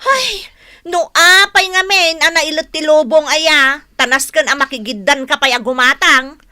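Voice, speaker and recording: A woman playing a vain, sharp-tongued village woman in an Ilocano radio comedy, animated and indignant, with an Ilocano accent. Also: high voice, moderate pace, dry recording.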